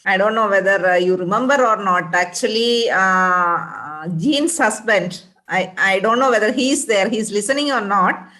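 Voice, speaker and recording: A woman's voice: mid-range voice, moderate pace, bright, forceful tone. A woman gives an informal speech at a women's group event, full of fillers and restarts. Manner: hesitant, many fillers and restarts. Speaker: association member and doctor.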